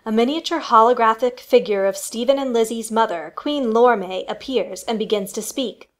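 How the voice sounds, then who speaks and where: A woman's voice: fairly high pitch, normal speed. A woman reading scripted storytelling narration for a family radio play.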